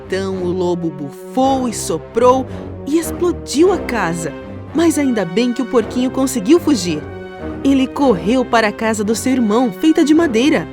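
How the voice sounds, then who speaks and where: A woman's voice: a little high, animated, moderate pace. A woman with a Brazilian accent narrating a scripted children's story. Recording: music under the voice.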